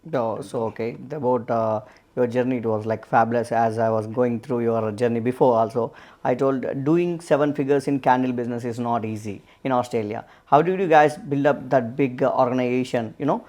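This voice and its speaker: A man's voice: medium pitch, fairly quick, a little mellow. A man hosting a podcast interview. He asks questions casually, with lots of fillers. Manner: casual, lots of uh and you know. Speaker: podcast host.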